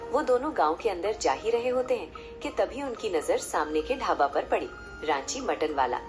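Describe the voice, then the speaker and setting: A woman's voice: mid-range voice, expressive, moderate pace. A woman narrating a story in a scripted storybook style. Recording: music behind the voice. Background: loud background music.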